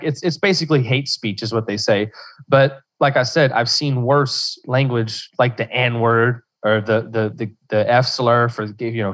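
A young man from Louisiana, a podcast guest who talks in loose rambling bursts full of restarts and fillers. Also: mid-range voice. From South Central Louisiana. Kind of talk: casual interview chat.

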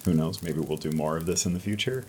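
A man's voice: very deep voice, fast, darkish tone, a little hiss or hum. A man hosting and telling a personal story in a casual, conversational storytelling style. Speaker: host and storyteller.

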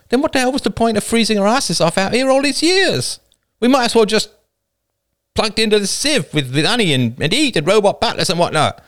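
Man doing an impassioned, high-pitched indignant woman. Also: fairly bright tone, exaggerated voice. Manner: impassioned, stammering. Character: wide-eyed, despairing, indignant woman of a hardy clan.

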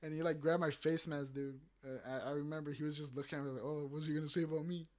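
A man's voice: a little high, flat delivery, fast. A young man hosting a podcast, chatting casually, rambling and swearing with lots of fillers. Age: young adult. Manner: rambling, swears a lot, many fillers and restarts. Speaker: podcast host. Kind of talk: casual chat.

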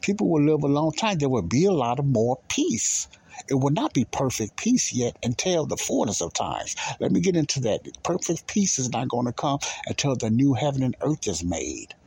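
A man's voice: medium pitch, very animated, normal speed, creaky, darkish tone, husky. A man teaching the Bible in an informal sermon, emphatic and repetitive, often asking questions and answering them himself.

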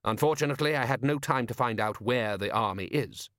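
Aristocratic ruler for comic fantasy audiobook voiced by a man: composed, measured, dry wit, very dry recording. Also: medium pitch, no echo, natural voice. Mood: composed, courteous. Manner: measured, formal, deadpan. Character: calm, dryly witty, aristocratic male city ruler.

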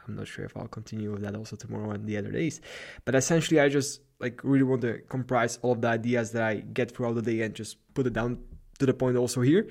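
A man with A Slavic accent hosts a solo podcast, talking in a loose, rambling and upbeat way about getting better at life. His voice has a gravelly, creaky edge at a middling pitch. He records alone in his apartment late at night. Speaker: podcast host. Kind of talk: solo motivational podcast monologue.

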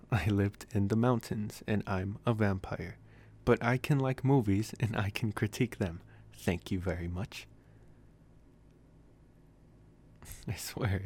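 A man acts out a young male college vampire with a lowish voice. He speaks softly and intimately, as in an ASMR boyfriend roleplay. He is possessive yet gently reassuring, with a teasing streak and halting, sighing phrasing. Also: natural voice. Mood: mildly defensive, amused. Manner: soft, intimate, hesitant phrasing with sighs and chuckles.

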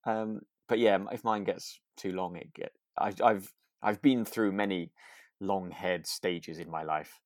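A man co-hosting a podcast in casual chat, speaking in a soft, low-key way with hesitant, self-correcting phrasing. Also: steady tone, measured pace, no room sound, clean studio sound. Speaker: podcast co-host. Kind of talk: casual chat about a radio drama.

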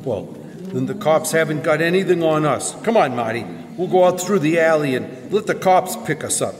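A man's voice: on the high side, lively intonation, moderate pace, a crowd in the background, close to an everyday voice. Man playing a tough gangster in a reverberant hall. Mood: defiant. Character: tough male gangster boss, defiant and snarling. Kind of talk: old-time radio drama acting. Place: live performance in a church hall. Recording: live stage in reverberant hall.

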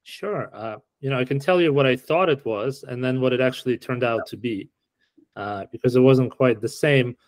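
A middle-aged man from Ukraine answering interview questions in a relaxed, explanatory way with frequent fillers. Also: medium pitch, moderate pace, dry recording. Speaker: podcast guest.

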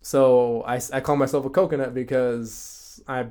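A man's voice: mid-range voice, fairly even intonation, a little slow, a little mellow. A man co-hosting a podcast, talking casually and laughing at himself. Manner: laughing, chatty, self-deprecating. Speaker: podcast co-host. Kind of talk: casual chat.

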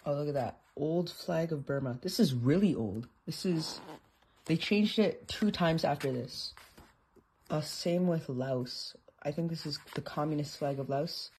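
A presenter of indeterminate sex speaks at a middling pitch with a husky, slightly rough voice. There is a slight lisp on the microphone. The delivery is casual and unscripted, like someone showing items as they go, halting and correcting themselves. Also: darkish tone. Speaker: presenter showing an old atlas. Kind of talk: casual unscripted show-and-tell.

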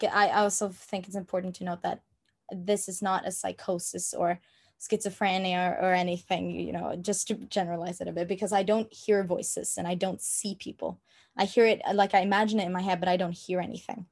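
A young Norwegian-accented woman speaks in a lowish, fairly flat voice. She talks casually in an interview, often pausing with fillers and restarting her sentences. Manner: hesitant, many fillers and restarts. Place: room at home.

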